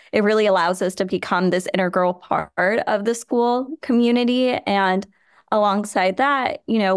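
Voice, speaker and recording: A woman from Chicago, raised speaking Hindi and Gujarati, talks as a nonprofit founder in an interview. Her voice is smooth and clear, and the sound is close and dry with no room around it. She speaks earnestly and steadily, leaning on "you know" as she goes. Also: mid-range voice, no echo. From Chicago, USA. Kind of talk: podcast interview answer.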